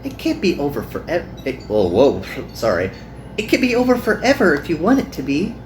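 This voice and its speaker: Lady doing a sweet earnest magical girl from a visual novel, lowish for a girl, very expressive, noisy recording. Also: moderate pace. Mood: friendly.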